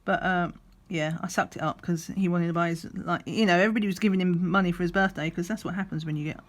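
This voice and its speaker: A middle-aged woman, slightly croaky, chatting in a rambling way while doing a craft, sometimes counting under her breath. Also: lowish voice, fairly even intonation, fast. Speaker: crafting vlogger. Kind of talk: relaxed chatty craft vlog. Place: at a craft table indoors.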